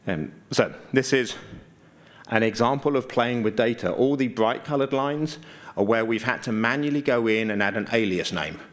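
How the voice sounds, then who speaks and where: A man giving an informal conference presentation, explaining things conversationally with dry, understated humor. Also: lowish voice. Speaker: conference speaker, GIS mapping consultant.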